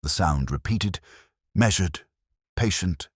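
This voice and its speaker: A man reading scripted mystery fiction as an audiobook narrator, in a measured, literary, atmospheric way. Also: low-pitched, expressive, breathy edge, no room sound, clean studio sound.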